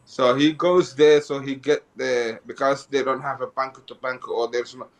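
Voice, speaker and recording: Halting man. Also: medium pitch, steady tone. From Somalia. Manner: halting, repeats and restarts phrases. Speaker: guest. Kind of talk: interview, telling his life story.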